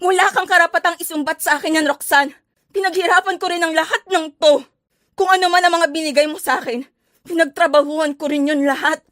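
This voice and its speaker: A woman's voice: extremely high pitch, steady tone, a little fast, no room sound, studio quality. A woman playing a furious, defiant mother fighting for her child in a melodramatic audio drama. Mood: indignant. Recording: voice under loud music.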